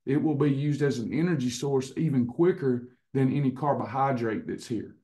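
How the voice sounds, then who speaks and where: A hoarse man in his fifties hosting a casual online recipe and wellness class over a webcam, chatty, with frequent restarts. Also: medium pitch, normal speed, mellow. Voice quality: slightly hoarse, clears throat. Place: indoors in front of a printed backdrop. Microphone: webcam on a video call.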